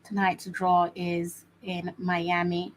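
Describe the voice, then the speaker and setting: A woman's voice: lowish voice, completely flat delivery, takes it slowly, slight background noise. A woman hosting a live watch-along stream, chatting casually and often repeating her phrases with many fillers. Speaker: host.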